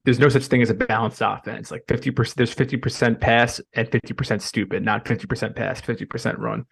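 Monotone young man with a gravelly voice. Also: mid-range voice. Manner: monotone, halting speech with many fillers. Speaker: podcast co-host. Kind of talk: casual sports chat.

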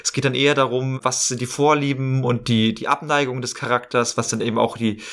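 A man talking casually as a podcast interview guest, often circling back over his phrases in a halting way. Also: mid-range voice, level tone, a bit bright. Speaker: interview guest, role-play podcast maker.

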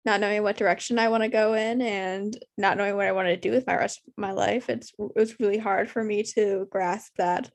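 A young woman co-hosting a casual podcast, speaking candidly with many pauses and ums on a makeshift home mic. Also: medium pitch, steady tone. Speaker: podcast co-host. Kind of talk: casual chat. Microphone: improvised home mic.

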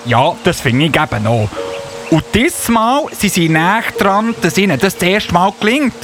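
Man voicing a high, fussy elf policeman. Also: very animated, moderate pace, music in the background. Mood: earnest, alarmed. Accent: Swiss. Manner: indignant, officious, with repeated verbal tic. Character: fussy, self-important elf policeman of the Christmas corps. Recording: noticeable buzzy background noise.

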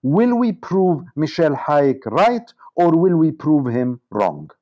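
Mellow, higher-pitched man giving political commentary. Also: sing-song, takes it slowly, muffled recording. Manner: discursive, emphatic, building lists and repetitions. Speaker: interview guest, political commentator on the Middle East. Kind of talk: earnest political commentary in conversation.

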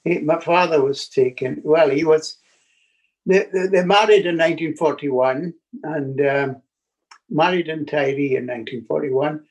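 Older Scottish man recounting family history on a video call, halting, unhurried, slightly high voice. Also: dull audio. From Scotland. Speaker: guest. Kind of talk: storytelling interview over video call.

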